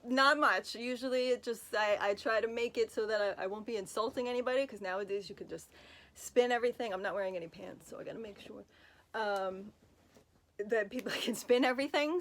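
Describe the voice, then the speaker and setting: A woman's voice: on the high side, fairly bright tone. A woman with a Brooklyn accent, chatting casually and rambling with fillers as she answers fan questions from her bed, recorded on a phone. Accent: Brooklyn New York. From Brooklyn, New York. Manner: chatty, rambling, self-deprecating, lots of fillers and asides.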